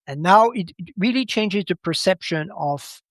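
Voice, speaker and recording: A fairly high-voiced man who speaks as a gives his analysis as a podcast guest. He talks haltingly, doubling back on words as he looks for the right phrase. The sound is dry and close. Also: lively intonation, no echo. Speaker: podcast guest, macro commentator. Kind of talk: conversational analysis.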